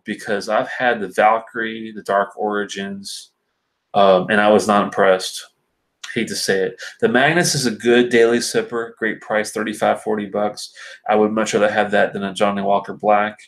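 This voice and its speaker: A man's voice: lowish voice, moderate pace, rough recording. A man hosting a casual live stream about whisky, reading and answering viewer comments in a rambling, filler-heavy way, sounding stuffy and sinusy from allergies. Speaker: whisky reviewer host.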